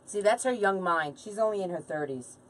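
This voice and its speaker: A woman's voice: medium pitch, expressive, fairly quick, far from the mic, a little hiss or hum, low-quality recording. A woman in her late forties chatting casually and informally as she hosts a craft livestream, bantering with viewers and a helper. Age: late 40s. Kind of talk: casual live chat while crafting.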